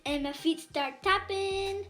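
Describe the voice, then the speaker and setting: A woman reading a children's picture book aloud in an animated, expressive storytelling way. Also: extremely high pitch, slow pace, faint music behind. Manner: animated, dramatizes dialogue.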